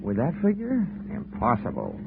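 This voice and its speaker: An actor playing a brash, cynical insurance detective in a 1940s radio drama, with slangy, clipped wisecracks. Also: male voice, medium pitch, wildly swooping pitch, normal speed, vocal fry, heavy background noise. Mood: impatient, flippant. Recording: narrow-band old radio with loud music.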